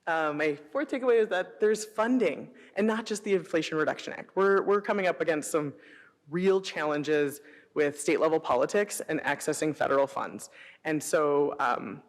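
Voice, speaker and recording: A middle-aged woman with an American accent giving an informal presentation, telling personal anecdotes in an animated way and acting out quoted dialogue. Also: deep voice, normal speed. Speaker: city sustainability director presenting. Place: presentation hall before an audience. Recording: echoey hall, long reverb.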